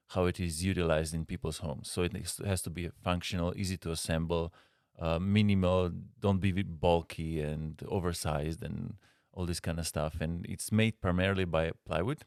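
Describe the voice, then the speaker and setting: A man from Slovenia with a Slovenian accent, a guest designer answering interview questions in a halting way with frequent pauses. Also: low-pitched, clean tone, dry recording, clean studio sound.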